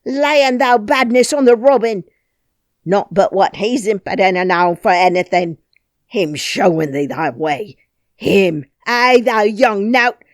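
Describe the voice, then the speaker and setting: A woman voicing an angry old male gardener, scolding loudly in broad dialect, in an audiobook reading. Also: fairly low pitch, big pitch swings, normal speed, no echo, clean studio sound. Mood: outraged. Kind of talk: audiobook character voice.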